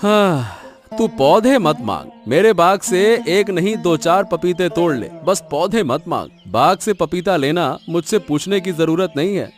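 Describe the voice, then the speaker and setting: A man voicing a good-hearted, sentimental farmer husband in a Hindi cartoon moral story, expressive and full of exclamations. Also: high-pitched, a little slow, faint music behind. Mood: friendly.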